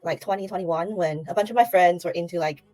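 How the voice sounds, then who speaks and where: A woman's voice: fairly low pitch, quick pace, a little mellow, poor audio. A woman from Taiwan, a guest on a livestream interview, chatting casually with many fillers and restarts over a remote call mic.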